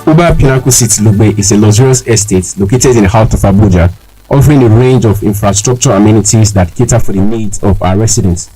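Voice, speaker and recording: A man's voice: fairly low pitch, fast talker, warm tone, breathy edge, soft background music, rough recording. A man as a polite company representative in a scripted radio advert interview. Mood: polished, promotional. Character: polite male estate company representative selling homes.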